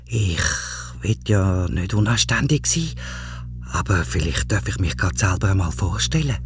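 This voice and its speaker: A Swiss German man voicing a proud male condor with a soft, hoarse voice that drops low and often sinks into a whisper. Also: animated, slight background noise. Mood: polite, proud. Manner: slow and grand, slips into whispers. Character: proud, boastful male condor, huge and gentle.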